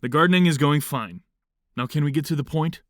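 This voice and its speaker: An actor in an audio drama playing a stern, impatient commune leader with a curt, no-nonsense manner. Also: male voice, on the high side, brisk, no echo, studio quality, lightly acted. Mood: irritated.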